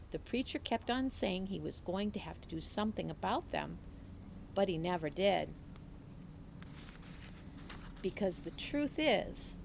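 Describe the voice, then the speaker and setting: A woman reads a children's book aloud with animated storytelling. Her voice is creaky, with a gravelly edge, at an ordinary pitch for a woman. The recording has clear background noise. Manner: animated storytelling, acts out dialogue. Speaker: teacher reading a book aloud. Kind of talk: expressive children's audiobook-style read-aloud.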